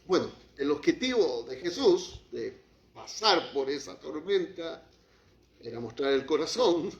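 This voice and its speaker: A man preaching a sermon as pastor, shifting from quiet, low passages to raised, heated lines. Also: big pitch swings, measured pace, creaky. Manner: impassioned preaching, drops to quiet, then rises to acted outbursts. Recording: echoey hall, muffled lo-fi sound.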